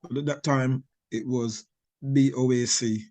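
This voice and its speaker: A man's voice: medium pitch, fairly even intonation, unhurried, a little mellow. A man in his sixties, born in Barbados, answering interview questions as an author, rambling with many restarts and small chuckles. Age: 60s.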